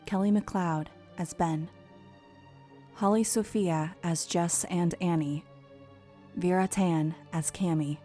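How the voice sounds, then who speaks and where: A woman's voice: lowish voice, warm tone. A woman reading scripted closing credits as the announcer of an audio drama. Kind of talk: scripted narration. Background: music.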